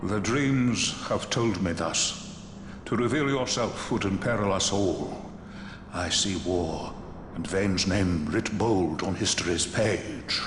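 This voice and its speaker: A man playing a solemn, ancient mystic high priest in a video game, speaking gravely in archaic, oracular phrases. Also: takes it slowly, background noise. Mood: grave foreboding. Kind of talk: video game cutscene acting.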